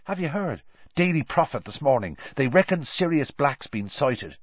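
A man doing an excited gossiping schoolboy. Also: on the high side, dry recording, highs cut off, voice pushed up. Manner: eager, quick, raised.

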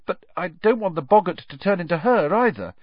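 Man doing a timid, high-pitched nervous schoolboy. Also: big pitch swings, normal speed, slightly rough, no echo, dull audio, heavily acted. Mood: nervous, uncertain. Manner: hesitant, uncertain. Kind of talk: audiobook character reading.

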